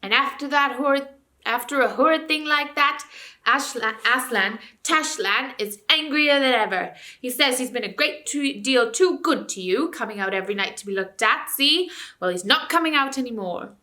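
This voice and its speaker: A woman voicing a scheming male ape who jeers and mocks the crowd of animals loudly. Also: lively intonation, normal speed. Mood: scolding. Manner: loud, mocking and shrill.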